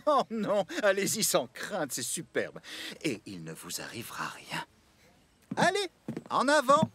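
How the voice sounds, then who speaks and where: A man's voice: sits high, huge pitch swings, unhurried, noisy background, exaggerated voice. A man voicing a kindly, effusive, courtly count with a gallant, emphatic manner in a French-dubbed children's cartoon. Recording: loud music behind the voice.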